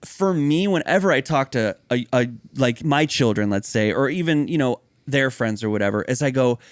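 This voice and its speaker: A man co-hosting a podcast, chatting casually and excitedly, swearing freely. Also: medium pitch, moderate pace, no room sound, clean studio sound. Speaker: podcast co-host. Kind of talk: casual chat.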